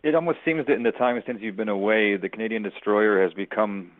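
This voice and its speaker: A man, a sports reporter, asking a question on a press conference call in a hesitant way, pausing mid-phrase. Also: on the low side. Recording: narrow, radio-like phone line.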